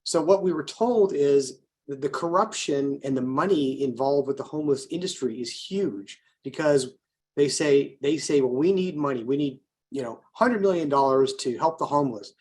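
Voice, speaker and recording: American man, mid-pitched voice, political co-host, conversational commentary, anecdotal, rhetorical questions. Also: moderate pace, darkish tone. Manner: anecdotal, poses rhetorical questions and acts out dialogue.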